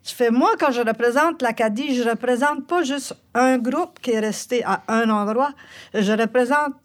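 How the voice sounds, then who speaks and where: A woman's voice: on the high side, moderate pace. An older woman with an Acadian French accent, a singer-songwriter telling stories in an interview, laughing often. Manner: lively storyteller, laughs often.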